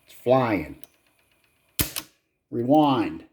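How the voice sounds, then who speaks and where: A man with a slow, unhurried delivery and a dark, relaxed tone talks his way through a hands-on repair. He speaks in short, halting phrases, half to himself, and is casually profane. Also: medium pitch, a little hiss or hum. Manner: muttering, halting, talking to self in short phrases. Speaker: hobbyist repairer filming own repair. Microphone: handheld camera.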